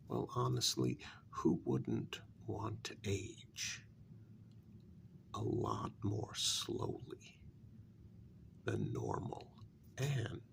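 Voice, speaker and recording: A man playing a bitter, sarcastic kidnapper in a soft-spoken ASMR role-play, halting and half-whispered, with a gravelly voice. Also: fairly low pitch, a little hiss or hum, full character voice. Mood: cool, probing. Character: bitter, sarcastic male kidnapper confronting a demon.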